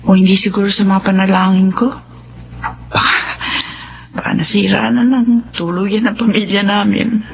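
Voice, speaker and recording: Woman playing a pleading, gravelly-voiced devoted wife. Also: mid-range voice, fairly even intonation, normal speed, slight background noise, lightly acted. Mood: warm, grateful. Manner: earnest, tearful pleading then warm and grateful. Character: pleading devoted wife and mother, middle-aged. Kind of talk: Filipino radio drama acting. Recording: muffled radio broadcast audio.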